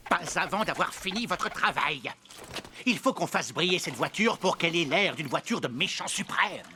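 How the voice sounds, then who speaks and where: A man voicing a boastful, whiny henchman in a cartoon, excitable and petulant. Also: on the high side, normal speed, slight background noise. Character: boastful, whiny male villain's henchman craving to be boss. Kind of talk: animated cartoon dub.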